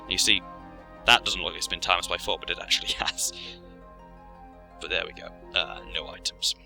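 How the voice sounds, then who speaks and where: A man's voice: low-pitched, steady tone, moderate pace, gravelly, pushed and bright. A man giving a casual, rambling gameplay explanation as he plays. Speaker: game commentator. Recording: game music behind voice. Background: video game music.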